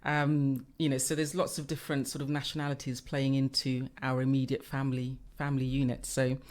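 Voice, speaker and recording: A woman's voice: rumbling low, level tone, a little fast, darkish tone. Chatty woman guest, laughs easily. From born in Uganda, raised in Kenya and Tanzania, UK since age eleven. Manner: chatty and open, laughs easily, many fillers. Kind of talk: conversational podcast interview.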